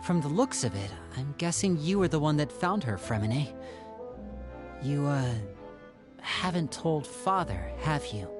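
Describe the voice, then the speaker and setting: A man's voice: on the high side, very animated, unhurried, music in the background. A man playing a suave, protective young elder brother in a video game, with an assured, theatrical, probing manner. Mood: wary, thoughtful.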